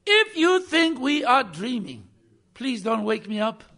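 A man preaching a sermon as a visiting preacher, emphatic, swinging between loud bursts and quiet asides. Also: extremely high pitch, big pitch swings, a little slow. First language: not English.

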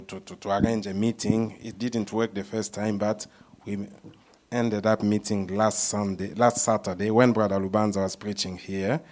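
Man, church leader, low voice, informal, restarts a lot. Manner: informal, with frequent "you know" and restarts. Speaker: church leader introducing a speaker. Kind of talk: speaking at a church service. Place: church service.

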